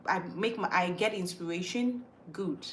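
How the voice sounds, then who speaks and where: A woman's voice: fairly low pitch, normal speed, fairly bright tone, a little hiss or hum. A woman from Ghana with a mixed Ghanaian accent, chatting casually as a livestream host and reading out viewer comments, emphatic and repeating her words as she thinks aloud. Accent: Ghanaian, mixed from moving around.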